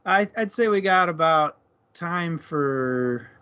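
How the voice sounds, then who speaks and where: A man hosting a relaxed, conversational discussion in an everyday speaking style. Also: a little high, slow, clean tone, dull audio. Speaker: host of a book discussion stream. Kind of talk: casual discussion.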